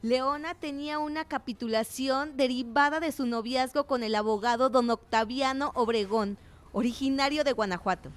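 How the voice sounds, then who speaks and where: Woman acting high-voiced, articulate history explainer. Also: normal speed, faint music behind, natural voice. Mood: informative. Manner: didactic, articulate. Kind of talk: explanatory radio drama narration.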